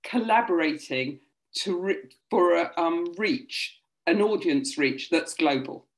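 A woman speaking as a producer on a roundtable video call, measured and collaborative. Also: on the low side, expressive, rough recording. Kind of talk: roundtable discussion. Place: home room with bookshelves. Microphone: laptop webcam video call.

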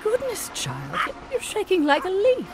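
An actress playing a chatty, eccentric older widow who tells folklore in a grandiose, theatrical way in an audio drama. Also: female voice, extremely high pitch, huge pitch swings, measured pace, music in the background, exaggerated voice. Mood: doting. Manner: theatrical storytelling, grandiose diction.

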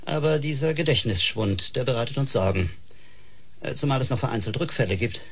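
An actor playing a stern, exasperated male clinic doctor in a science-fiction radio drama, with a husky voice. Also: medium pitch, a little fast, a bit bright, a little hiss or hum. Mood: concerned.

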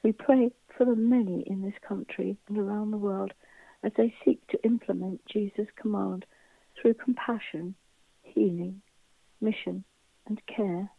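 A woman reading scripted church intercessions as prayer leader, in a measured, solemn, reverent way. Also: medium pitch, steady tone, vocal fry, dry recording. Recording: dull, phone-like narrow band.

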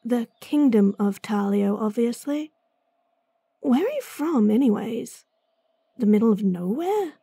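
A woman voicing a gruff, impatient female bounty hunter in a fantasy audio drama, blunt and sardonic, sighing often. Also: fairly high pitch, expressive, unhurried, warm tone, dry recording, heavily acted. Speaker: voice actress.

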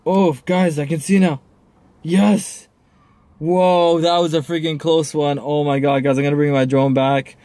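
A young man reacting loudly and with excitement while flying a drone from inside a parked car, filmed by a dashboard camera. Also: a little high, unhurried, no room sound. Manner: exclamatory, hyped-up reactions. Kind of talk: excited drone vlog commentary.

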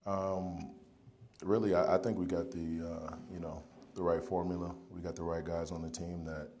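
A young man with a very deep, dark voice speaks in an even, flat tone from a podium mic in a press room. He answers post-game questions haltingly, leaning on ums and you-knows. A faint hiss sits under the audio. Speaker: football player. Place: press conference room, indoors. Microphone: podium mic in front.

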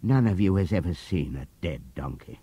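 A man voicing a dry, cryptic old male donkey in an audiobook, slow and deadpan, his voice set apart from his narration. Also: low voice, slightly rough.

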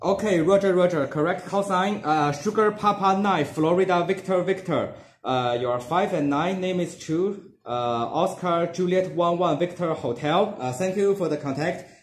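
A man's voice: high-pitched, moderate pace. A young man working as an amateur radio operator, exchanging call signs in phonetic spelling. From Singapore. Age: young adult. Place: small dark room indoors. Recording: roomy echo, background noise, clicking.

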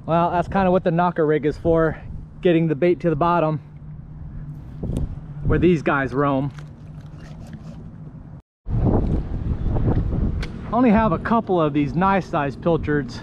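A middle-aged man talks to himself while fishing from a kayak, exclaiming as he goes, in a voice that sits high for a man. He is recorded on an action camera mounted on the boat, with loud wind and water noise all around. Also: measured pace, long pauses, muffled recording. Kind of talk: casual running commentary while fishing. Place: kayak on open water outdoors.

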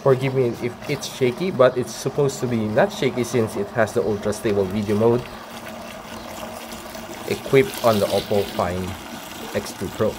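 A man in his thirties with a Filipino accent gives a casual, halting how-to demonstration as a vlogger, recorded on a phone outdoors. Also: mid-range voice, steady tone. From Philippines. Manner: halting, explanatory. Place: outdoor paved yard or garage at home. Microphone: handheld phone camera. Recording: clear ambient noise on phone video.

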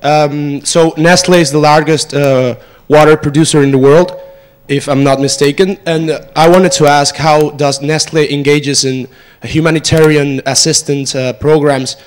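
A man's voice: on the high side, fairly even intonation, unhurried. A man, a student asking a prepared, formal question from the audience. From Venezuela. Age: 20s. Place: auditorium audience. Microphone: handheld microphone.

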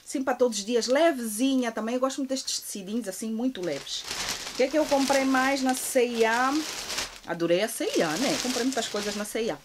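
A woman's voice: fairly high pitch, a little fast, background noise. Chatty woman, shopping haul. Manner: chatty, gushing, many filler restarts. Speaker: fashion vlogger. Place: walk-in closet at home.

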